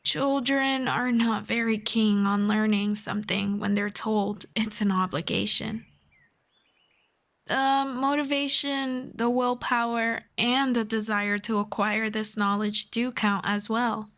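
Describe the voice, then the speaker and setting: A woman playing a gentle, earnest elf friend in a soft-spoken, measured ASMR fantasy roleplay. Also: fairly high pitch, fairly even intonation. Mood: earnest, thoughtful. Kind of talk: slow scripted ASMR roleplay.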